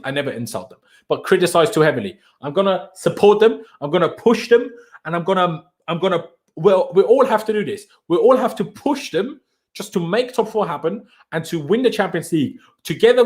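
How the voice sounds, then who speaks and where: Young guy w a german accent doing a football livestream, kinda high voice, chatty and animated. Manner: chatty, animated, answers viewer questions. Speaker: football channel host. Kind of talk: live-stream chat answering viewers.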